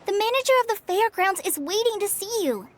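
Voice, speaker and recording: Very high-pitched, excitable little fairy girl. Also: brisk. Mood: chatty, curious. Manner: refers to self in third person, exclamatory. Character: small, cute, excitable childlike fairy girl companion. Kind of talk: anime video game voice acting.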